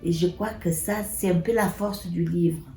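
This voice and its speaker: A woman's voice: fairly low pitch, steady tone, normal speed, gravelly, over music. An older woman from northern Portugal, Portuguese her first language, speaking earnestly with hesitations in an interview. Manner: earnest, with hesitations and repeated words. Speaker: community activist and author. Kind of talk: interview and speech. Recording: echoey room with faint noise.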